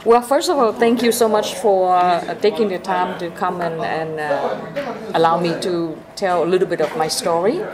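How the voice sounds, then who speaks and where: A woman's voice: lowish voice, normal speed. An older woman from Vietnam telling her life story in an interview, hesitant with many fillers and frequent laughs. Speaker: interview guest. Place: restaurant in Bangkok. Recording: busy crowd noise behind.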